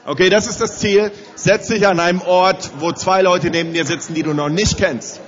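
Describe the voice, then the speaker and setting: A man's voice: sits high, moderate pace, lo-fi sound, some chatter behind. Man with a cold, hosting a conference. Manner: energetic, directs the audience with instructions. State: has a cold, slightly strained voice. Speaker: conference host for youth leaders. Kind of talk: addressing a live audience at a conference. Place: large conference hall with audience.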